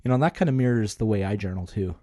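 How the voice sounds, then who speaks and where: Male podcast co-host, low and relaxed, conversational explainer in casual chat. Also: fast talker, no room sound.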